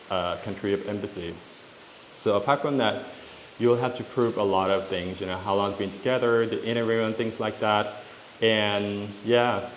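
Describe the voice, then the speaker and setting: A Lao man in his thirties with a Lao accent, explaining things in a casual vlog with frequent fillers and restarts. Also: on the low side. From Laos. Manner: talks in halting stretches with many fillers and restarts. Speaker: vlogger. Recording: echoey room with long reverb and faint hiss.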